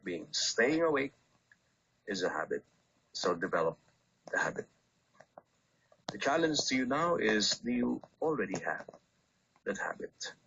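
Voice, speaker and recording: A priest speaks in a bright, forceful voice with a husky edge. He delivers his radio homily deliberately, urging the listener on. The sound is a roomy, lo-fi broadcast recording. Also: lowish voice. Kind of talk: scripture reading and short radio homily. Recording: dull lo-fi radio aircheck, roomy.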